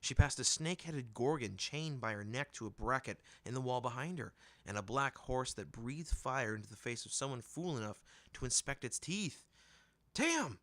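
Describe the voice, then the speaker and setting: A man giving an expressive scripted narration of fantasy fiction, as an audiobook reader. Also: mid-range voice, normal speed, hardly any pauses, dry recording.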